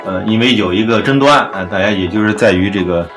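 A man's voice: lowish voice, fast, a bit bright. A man hosting a casual podcast chat. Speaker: podcast host. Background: background music.

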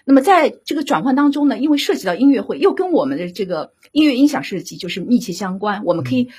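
A woman's voice: a little high, very animated, fast, darkish tone, slightly rough. A woman guest, a radio drama director, explaining her work conversationally and often checking in with rhetorical questions. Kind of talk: conversational panel discussion.

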